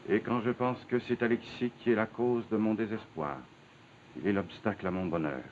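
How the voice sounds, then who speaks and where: A man plays a solemn bourgeois father in a 1950s television drama. His voice is deep and his diction is grave and theatrical. The old soundtrack is dull, with a faint hiss. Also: fairly even intonation, moderate pace, close to an everyday voice. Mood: mournful reading.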